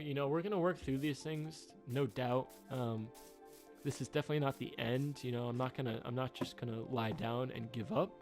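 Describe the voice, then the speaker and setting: A man in his twenties rambling through a personal update, halting with many fillers and restarts, subdued by a rough time. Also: mid-range voice. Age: 20s. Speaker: YouTuber.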